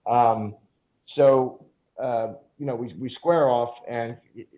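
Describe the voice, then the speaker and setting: A man's voice: mid-range voice, slow. A man guest on a podcast telling long casual stories, full of fillers and swearing. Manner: rambling, frequent fillers and profanity. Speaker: podcast guest, jiu-jitsu instructor. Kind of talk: casual storytelling interview. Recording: phone-like narrow band.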